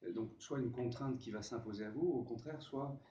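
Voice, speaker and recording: A hoarse man with a cold, speaking at a round table with many restarts and hesitations. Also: medium pitch, a little fast, warm tone. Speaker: panelist on legal matters. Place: conference room. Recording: echoey room, distant dull mic, faint noise.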